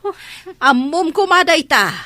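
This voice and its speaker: Scolding mother, woman actor, sharp bright tone, swooping delivery, dry studio radio drama. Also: extremely high pitch, sing-song, a little slow, dry recording, full character voice. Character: nagging, scolding mother of a grown daughter. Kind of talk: Ilocano radio drama acting.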